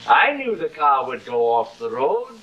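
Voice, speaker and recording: A man's voice: mid-range voice, big pitch swings, unhurried, bright, forceful tone, muffled recording. A middle-aged actor playing a vain, cruel, drunken stage-actor father in a grandiose, slurred, taunting way, in theatrical 1950s television style. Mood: menacing. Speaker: actor in a television horror drama. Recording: hissy old 1950s television soundtrack.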